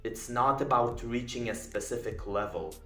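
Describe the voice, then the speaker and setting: A man's voice: medium pitch, normal speed, sharp tone, roomy sound, soft background music. A young man whose first language is Polish, giving an earnest, emphatic self-improvement commentary. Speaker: video essayist.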